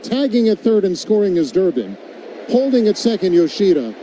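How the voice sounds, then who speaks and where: A man's voice: sits high, very animated, normal speed, warm tone, low-quality recording. A man doing live radio play-by-play commentary of a baseball game as the announcer. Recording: background noise of an outdoor ballpark.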